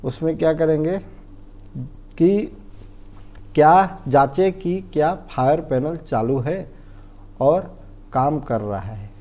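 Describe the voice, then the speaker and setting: An Indian man with a mellow, soft-edged voice pitched a little high teaches a technical lesson. He explains step by step in an informal, didactic way, repeats key phrases and keeps checking that the listener follows. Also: takes it slowly, long pauses. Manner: didactic, repetitive, often checks understanding and asks himself questions. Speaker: fire safety instructor.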